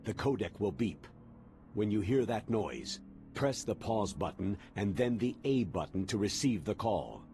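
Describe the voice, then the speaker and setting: An actor playing a stern older military commander, giving measured, authoritative mission briefings in a video game. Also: male voice, fairly low pitch, dark tone, slight background noise. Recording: music under the voice.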